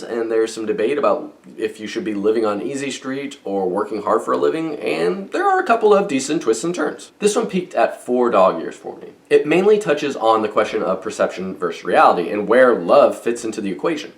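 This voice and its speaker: A man hosting a book review vlog in semi-scripted talk, with wry, playful asides. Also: mid-range voice.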